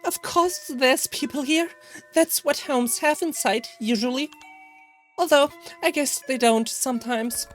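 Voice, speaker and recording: A performer voicing a blunt, matter-of-fact and gently curious female character in a visual novel, with simple phrasing. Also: sits high, a little slow, soft background music, pitched above the performer's own voice.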